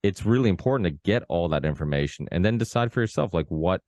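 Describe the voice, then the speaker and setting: A man's voice: low voice, lively intonation, quick pace, darkish tone, no room sound. A man from Portugal hosting a podcast, talking in a loose, rambling way with lots of fillers and restarts. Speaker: podcast host. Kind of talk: casual conversational chat.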